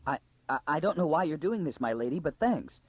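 A man's voice: on the high side, lively intonation, brisk, breathy edge, dry recording, highs cut off, voice pushed up. A man voicing a frightened, stubborn young girl in an audiobook, hesitant and questioning. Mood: anxious, doubtful.

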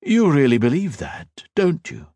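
A man's voice: fairly high pitch, very animated, unhurried, dark tone, no echo, voice pushed up, heavily acted. A male narrator voicing a smug, cruel emperor villain who mocks and taunts his captive in a grandiose way. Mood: gloating.

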